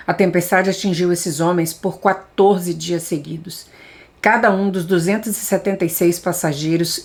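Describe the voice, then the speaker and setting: Brazilian woman reading aloud, lowish voice. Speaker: audiobook reader.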